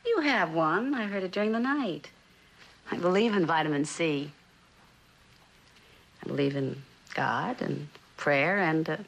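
A woman's voice: on the low side, slight background noise. An actress playing a genteel, middle-aged mother who clings tenderly and hesitantly to her daughter, in a vintage suspense drama. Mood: doting. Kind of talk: 1960s television suspense drama acting.

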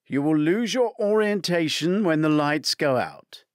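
Man playing a ship captain, commanding, announcing to crowd, lively pitch. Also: unhurried, no room sound, clean studio sound.

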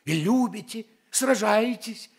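A man's voice: high-pitched, expressive, slow pace, husky, heavily acted. An actor playing a haughty, curious giant king, pondering and exclaiming in a theatrical radio play. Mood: curious, astonished.

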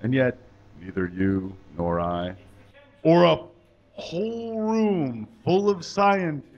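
Man playing a slow, preachy, folksy puppet. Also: fairly high pitch, animated, muffled recording. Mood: earnest. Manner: preachy, deliberate, drawn-out phrasing. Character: pious, folksy, patronising man puppet, reassuring teacher. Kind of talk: slow mock-sermon in a comedy puppet show. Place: small indoor stage. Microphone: handheld microphone.

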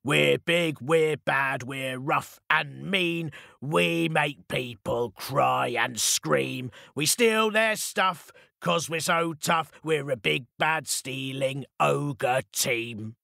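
A man voicing a gang of boastful male ogres who chant slowly about being tough. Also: high voice, expressive, gravelly, pushed and bright, no echo, studio quality, voice pushed up, exaggerated voice. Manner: slow chanting song, then panicked shrieks. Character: big bad boastful ogre gang, male.

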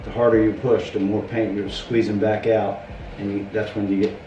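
A man's voice: fairly low pitch, little pitch movement, normal speed, lo-fi sound, background noise. A man giving casual hands-on painting lessons in an empty house, explaining patiently with everyday comparisons. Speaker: painter teaching how to paint doors. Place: empty carpeted room in a house. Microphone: camera a few feet away. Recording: echoey room heard from a distance.

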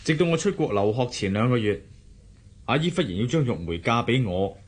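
Husky-voiced earnest young husband. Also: male voice, medium pitch, very animated, a little fast. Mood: explaining. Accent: Hong Kong Cantonese. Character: earnest young husband, defensive, devoted and teasing. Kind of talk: radio drama acting.

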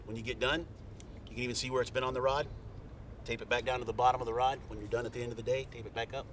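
A man's voice: medium pitch, fairly even intonation, very fast. Brief: middle-aged man, plain folksy how-to talk, recorded from a few feet off outdoors, faint boat hum. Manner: plain, folksy, step-by-step instructions. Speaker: fishing guide. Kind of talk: casual how-to demonstration. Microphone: camera mic from a few feet away. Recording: distant outdoor camera audio with faint boat noise.

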